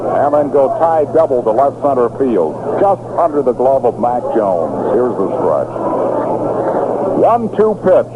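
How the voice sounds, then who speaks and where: A man's voice: mid-range voice, sing-song, a little slow, phone-quality sound, very noisy. A man doing live baseball play-by-play as a radio announcer, describing the action in short clipped phrases on a vintage 1960s broadcast.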